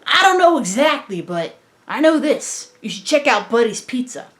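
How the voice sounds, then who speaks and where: Woman doing a streetwise guy, high-pitched, guarded. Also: very animated, moderate pace, a bit bright. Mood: confiding. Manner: casual, streetwise. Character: streetwise adult man, guarded old friend with a secret.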